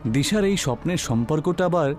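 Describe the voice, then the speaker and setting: A man's voice: a little high, lively intonation, a little fast, darkish tone, lightly acted. Man as a concerned young college guy, music underneath. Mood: worried. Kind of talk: cartoon dialogue.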